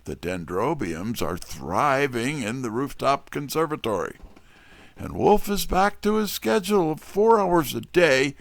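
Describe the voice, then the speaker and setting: A man playing a wry, smooth-talking private detective who narrates an audio drama. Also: mid-range voice, no room sound.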